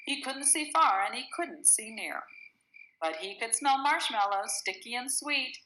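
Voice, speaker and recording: Lively higher-pitched woman reading a storybook. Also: unhurried, a little hiss or hum, rough recording. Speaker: storybook reader. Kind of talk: expressive read-aloud of a rhyming children's picture book.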